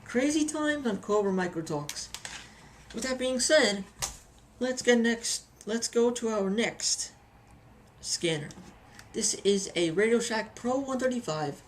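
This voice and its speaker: A woman's voice: a little high, expressive, takes it slowly, a little hiss or hum. A woman giving a casual, chatty hobby review with anecdotes, often repeating phrases for emphasis.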